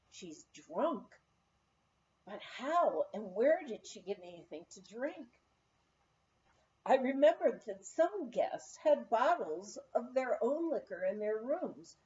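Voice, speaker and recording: A woman narrating a written memoir as an audiobook reader, with expressive storytelling. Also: medium pitch, dull audio.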